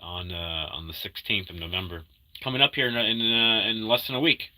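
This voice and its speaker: Man in his thirties, feeling sick, loose rambling stream chat with a very bright, sharp tone. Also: fairly low pitch, level tone. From Argentina. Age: 30s. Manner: rambling, halting, full of fillers and restarts; upbeat when greeting viewers. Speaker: livestreamer reading chat. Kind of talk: casual live-stream chat.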